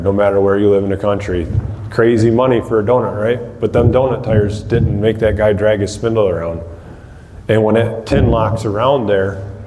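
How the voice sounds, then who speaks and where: A man in his thirties talks shop with a small crowd, his voice low and mellow. He speaks plainly and practically, with plenty of ums and restarts. The sound comes from a camera across a big, echoey room, with faint hiss underneath. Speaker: demolition derby builder giving a seminar. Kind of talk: casual talk to a small audience. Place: large hall with rows of empty chairs, indoors. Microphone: far camera across the room.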